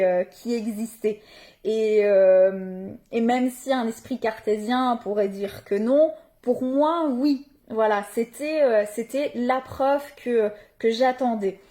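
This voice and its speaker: A young woman from northern France talks about herself in a soft, unhurried manner. Her voice sits a little high but sounds mellow and warm. She pauses often with small fillers as she gathers her thoughts. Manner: gentle, many filler pauses. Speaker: spiritual page creator. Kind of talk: slow, hesitant personal introduction.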